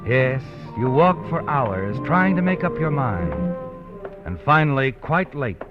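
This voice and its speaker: Brief: a brooding old-time radio narrator, lively rise and fall, music bed, dull vintage audio. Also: male voice, medium pitch, measured pace. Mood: knowing. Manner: second-person, knowing, dramatic. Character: mysterious male narrator who speaks to the hero. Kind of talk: 1950s radio drama narration. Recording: muffled 1950s radio with music under it.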